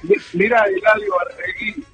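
A man with an Argentine accent speaks as a radio co-host in a very bright, sharp, high voice. His banter is casual and teasing, over faint music. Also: fairly even intonation, moderate pace, dull audio.

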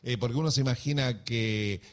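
A man with an Argentine accent hosting a radio interview, speaking haltingly with many pauses and fillers. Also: medium pitch. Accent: Argentine Spanish. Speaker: radio host.

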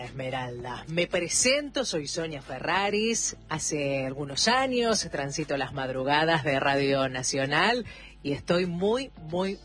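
An Argentine woman hosting late-night radio, talking intimately and affectionately to listeners with effusive, emphatic repetition. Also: low voice. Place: radio studio.

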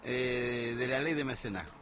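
Male radio guest, mid-range pitch, eager and conversational. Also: moderate pace, a bit bright, slight background noise.

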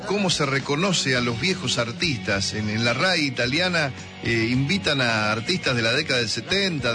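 A man with an Argentine accent hosting a radio interview, chatty and joking, quick to agree with his guest. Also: mid-range voice. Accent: Rioplatense Spanish. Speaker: radio host. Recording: radio broadcast with music behind.

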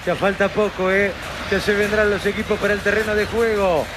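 A man hosting a radio football broadcast, lively and bantering as he hands off to colleagues. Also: high-pitched, fairly quick, background noise. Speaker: radio play-by-play announcer and show host.

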